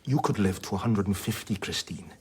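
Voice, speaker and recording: An actor playing a sardonic, blunt, worldly doctor in a radio drama, wry and plain-spoken with a husky voice. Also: male voice, fairly low pitch, a little fast, a little mellow. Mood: grave, heartfelt. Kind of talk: radio drama acting with narration.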